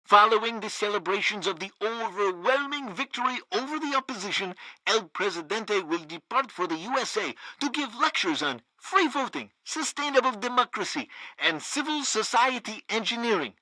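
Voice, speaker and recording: An actor playing a zealous, loyal regime radio host in a comedic video game, with a pompous, theatrical delivery. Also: male voice, high voice, animated, moderate pace, hardly any pauses, sharp tone, dry recording, heavily acted, low-quality recording. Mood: smug, earnest. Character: zealous loyal propaganda radio host, male, banana-republic sycophant.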